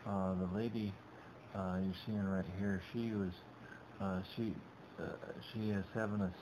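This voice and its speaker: A middle-aged man narrating his shopping trip in a halting, rambling way, full of restarts, over a webcam. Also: on the low side, level tone. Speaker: vlogger. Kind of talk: casual narrated shopping vlog. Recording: dull lo-fi webcam audio with background noise.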